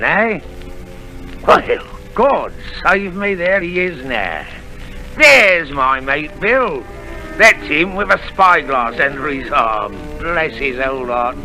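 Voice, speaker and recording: A sly old pirate who coaxes and threatens in a drawn-out, sing-song way, slow and bright-edged. It is an old radio play with faint music under the voice. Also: male voice, mid-range voice, full character voice, rough recording. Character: menacing sly old pirate, wheedling man. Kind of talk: 1930s radio drama acting.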